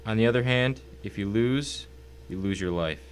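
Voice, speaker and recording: A man's voice: on the low side, moderate pace, slight background noise, lightly acted. A man playing a smug, wealthy, cultured villain in an audio drama, speaking in a pompous, formal, mocking way. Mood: coolly persuasive. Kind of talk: dramatic audio story acting.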